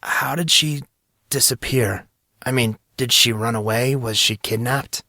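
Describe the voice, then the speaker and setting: A man voicing a sharp, observant young man who talks in a knowing, slightly smug way. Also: medium pitch, steady tone, a little slow, dry recording, clean studio sound. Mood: curious.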